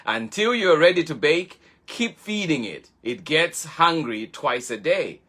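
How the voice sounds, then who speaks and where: Brief: kindly storybook uncle, chatty and patient, bright voice, read by a man. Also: fairly high pitch, very animated, a little slow, pitched below the performer's own voice, full character voice. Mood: friendly. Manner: chatty and explaining. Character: friendly easygoing uncle, adult man.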